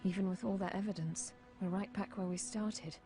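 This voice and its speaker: A woman playing a serious, frustrated investigator in an animated film dub. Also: mid-range voice, normal speed, over music, close to an everyday voice. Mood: frustrated, grave.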